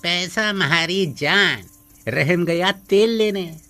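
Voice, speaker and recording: An actor playing a greedy, ruthless village moneylender, bullying people in an animated story. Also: mid-range voice, slow. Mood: gloating, threatening. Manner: bullying, rustic catchphrase-spouting. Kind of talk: acted dialogue in animated moral story.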